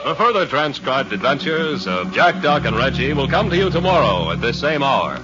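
Formal old-time radio announcer. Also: male voice, medium pitch, sing-song, normal speed. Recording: dull lo-fi old radio broadcast over loud music.

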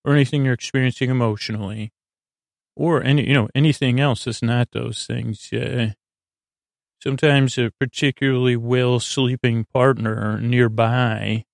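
Male sleep podcast host: gravelly, very even pitch, lulling, hesitant and meandering delivery. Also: dry recording, clean studio sound. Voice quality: creaky. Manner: meandering, halting, trails off into digressions; lulling, soothing. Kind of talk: rambling bedtime monologue to put listeners to sleep.

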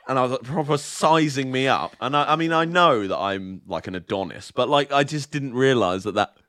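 Young guy, mid-pitched, chatty podcast co-host, rambling storyteller with plenty of fillers. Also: expressive, fairly quick, a bit bright. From southern England. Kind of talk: casual chat.

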